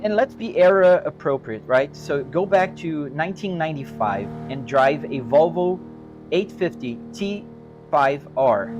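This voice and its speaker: A man with a Brazilian accent chats loosely with his live audience, looping back and hedging as he answers their questions. His voice sits a touch on the high side, and music plays clearly behind him. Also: lo-fi sound. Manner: easygoing, rambling, restarts and hedges. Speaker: sim racing live streamer. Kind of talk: casual chat answering live chat. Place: home sim racing rig. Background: music playing.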